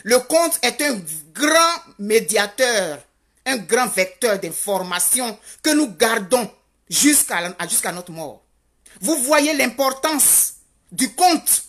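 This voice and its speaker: Lady going off on a passionate rant, slow, husky, kinda high voice, keeps repeating herself. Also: animated. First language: Godié. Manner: rhetorical questions, insistent repetition, rising to fervent. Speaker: commentator. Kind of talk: impassioned social commentary monologue. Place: Canada.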